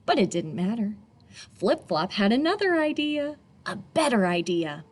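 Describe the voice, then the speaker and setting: A woman reading a picture book aloud to children expressively, in a teacherly way, pausing for young listeners. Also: fairly high pitch, sing-song.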